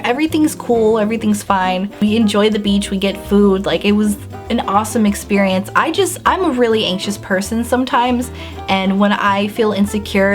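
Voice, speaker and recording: Young woman vlogging, bubbly storytime, lots of "like", faint music in the background. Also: medium pitch. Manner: bubbly, animated storytelling with lots of "like" and acted-out reactions. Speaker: beauty and lifestyle vlogger. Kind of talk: casual storytime vlog.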